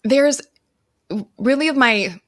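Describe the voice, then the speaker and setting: A woman's voice: sits high, drawn-out words, fairly bright tone, no room sound. An American woman speaking as a podcast guest, telling her own story in a casual way. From United States. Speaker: podcast guest, travel guide founder.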